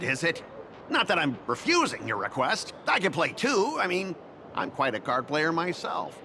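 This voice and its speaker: High-strung, fawning male company guide. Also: noisy background. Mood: servile bluster. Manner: theatrical, fawning, overeager. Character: excitable, obsequious male company guide with a grudge. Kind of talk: animated video game dub acting.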